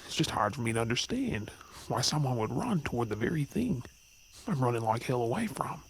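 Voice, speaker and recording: A man's voice: medium pitch, sing-song, fairly quick, vocal fry, slightly rough, heavily acted. A man playing an earnest, brooding werewolf, weary of hiding, in a supernatural ASMR audio drama. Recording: faint noise with chirping night insects.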